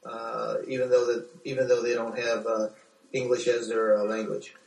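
A middle-aged American man answering interview questions as a doctor, speaking haltingly with repeated words. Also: mid-range voice, little pitch movement, vocal fry, a little mellow. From United States. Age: 40s. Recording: echoey room, distant from microphone.

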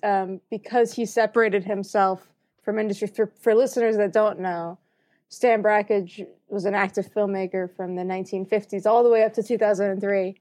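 A woman's voice: medium pitch, steady tone, normal speed, darkish tone. A young American woman and film student, talking casually on a podcast with frequent hesitations and restarted phrases. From United States. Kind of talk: casual chat.

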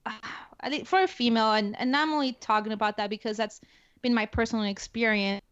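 A woman's voice: a little high, a little fast, fairly bright tone, no room sound. A young adult woman with a Spanish accent, a guest in an interview, talking casually with many fillers and restarts. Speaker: guest, security forces NCO. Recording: dull lo-fi dry sound.

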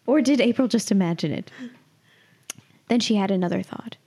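A young woman in her twenties with a mid-pitched voice co-hosts a podcast. She sounds playful and casual, moving between self-mocking banter and reading her own comic writing. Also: lively intonation, measured pace, darkish tone, slightly rough. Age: 20s. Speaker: podcast co-host and writer.

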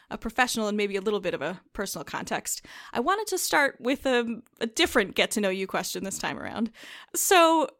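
A woman hosting a podcast, reading a measured scripted introduction and then interviewing her guest. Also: on the high side, a bit bright, no echo, studio quality. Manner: measured, with small filler restarts. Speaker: podcast host.

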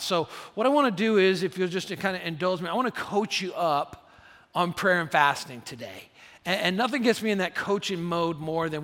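A middle-aged man preaching a sermon as pastor, casual and often repeating words at the start of phrases. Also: high voice. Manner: loud rallying shouts of "three claps". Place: large church hall. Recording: long hall reverb.

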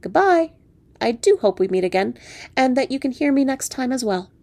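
A woman's voice: sits high, very animated, moderate pace, a little mellow, no room sound, pitched above the performer's own voice, full character voice. A woman voicing a teasing, flirtatious male frog prince in a storybook tale, with theatrical, mock-courtly manners. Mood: polite farewell.

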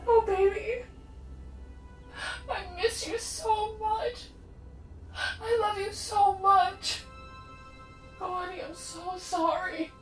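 A woman's voice: very high-pitched, level tone, slow pace, pauses often. A woman playing a grieving middle-aged mother in an audio drama. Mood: tearful, tender. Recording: roomy echo, slightly distant mic, faint music.

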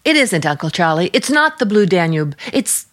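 Casting: earnest young niece for vintage radio thriller, very expressive, insistent. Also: female voice, on the low side, moderate pace. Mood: puzzled, insistent. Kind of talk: mid-century American radio drama acting.

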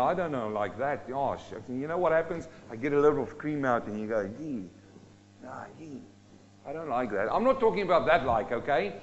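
Male preacher from South Africa, lighter pitch, dramatic swings in volume and pace, dull lo-fi recording. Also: slight background noise. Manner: dynamic, rising and dropping sharply for emphasis. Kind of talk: sermon.

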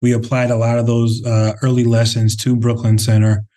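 A man talking through interview answers in a warm, mellow voice that stays fairly level in pitch. He speaks thoughtfully, pausing often with fillers and restarting phrases. He comes across as a company head explaining his work. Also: completely flat delivery. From Minnesota, Twin Cities. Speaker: real estate CEO, interview guest.

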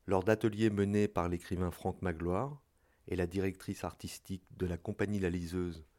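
Low-voiced man reading scripted credits. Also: fairly even intonation, normal speed, warm tone. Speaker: credits announcer.

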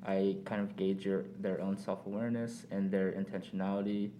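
A young man, born in South Korea with Korean as his first language, speaking as a podcast guest in thoughtful, measured casual talk with pauses and fillers. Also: deep voice, level tone, background noise. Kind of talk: casual reflective conversation.

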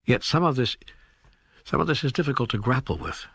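A man playing an earnest, puzzled questioner of God in an audiobook dialogue, hesitant and husky-voiced. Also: mid-range voice, very animated, fairly quick, a bit bright, dry recording, studio quality, heavily acted. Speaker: author and co-narrator.

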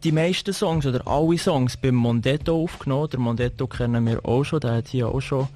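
A man's voice: mid-range voice, brisk, warm tone. A man with a Swiss German accent hosting a casual radio interview, speaking informally with English slang mixed in.

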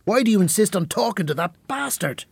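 An actor playing an exasperated, demanding middle-aged father in a radio drama, husky and confrontational. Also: high voice, lively intonation, brisk, darkish tone, dry recording, heavily acted. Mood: exasperated, angry. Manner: confrontational, swearing, insistent. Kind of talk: naturalistic radio drama acting.

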